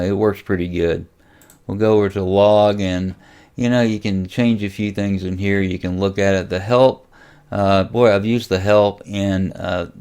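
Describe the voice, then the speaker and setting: A man with a deep, smooth voice presents an informal software tutorial. He speaks off the cuff, hesitating and thinking aloud as he moves from step to step. The tone is that of a hobbyist host explaining a setup. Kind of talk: casual software walkthrough.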